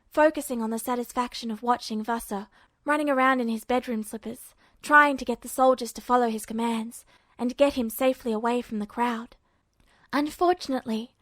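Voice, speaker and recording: A woman's voice: fairly high pitch, a bit bright, dry recording, clean studio sound. A woman reading scripted fiction aloud as a narrator. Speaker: audiobook-style narrator of fan fiction.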